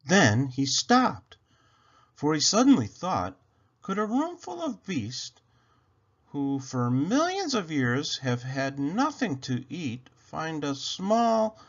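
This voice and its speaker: A man reading a rhyming children's story aloud in an expressive, theatrical way with drawn-out phrasing. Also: fairly high pitch, dull audio.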